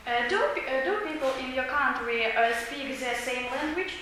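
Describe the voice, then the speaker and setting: A woman's voice: high voice, moderate pace, sharp tone. A woman student, asking interview questions in a classroom role-play with many fillers. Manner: hesitant, asks questions with fillers. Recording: echoey classroom from far off, noisy.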